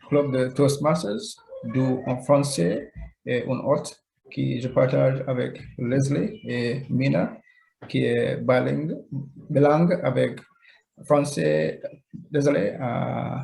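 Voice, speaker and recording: A man with a gravelly voice speaks slowly and haltingly, stopping to correct himself. The sound is roomy and echoey, picked up over a video call. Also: mid-range voice, fairly even intonation, darkish tone. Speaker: club member. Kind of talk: self-introduction at a club meeting.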